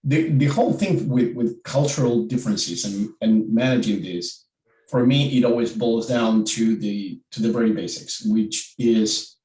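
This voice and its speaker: A man's voice: on the low side, a little slow, warm tone, roomy sound, recorded from a distance, low-quality recording. A man from Argentina with an Argentine Spanish accent, speaking reflectively on a virtual panel and often repeating words as he thinks.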